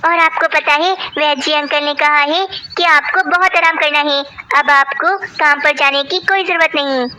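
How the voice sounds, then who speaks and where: A woman's voice: high voice, fairly even intonation, normal speed, hardly any pauses, sharp tone, a little hiss or hum. A woman voicing a caring, worried young bird son in a cartoon, earnest and pleading. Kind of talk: Hindi cartoon voice acting.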